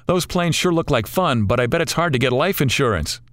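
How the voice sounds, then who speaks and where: A man's voice: medium pitch, expressive, a little fast, a bit bright, no echo, clean studio sound, pitched above the performer's own voice, natural voice. A man voicing a friendly, chatty father on a boat.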